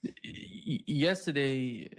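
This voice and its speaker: A man's voice: medium pitch, very slow. A man interviewing a guest as host, in a conversational style.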